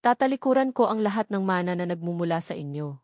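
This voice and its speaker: A woman's voice: lowish voice, animated, fast, a little mellow, dry recording, clean studio sound, close to an everyday voice. A woman voicing a resolute, devoted young man in a romance audio drama, speaking in a solemn, declarative way. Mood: earnest, resolute. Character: resolute young man defying his family, devoted, earnest.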